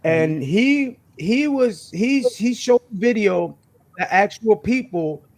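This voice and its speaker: Man, forties, high-pitched voice, native Spanish speaker, emphatic casual storytelling, panel guest. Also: animated, slow, darkish tone. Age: 40s. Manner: emphatic, checks in with "right?". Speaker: call-in guest on a live panel show.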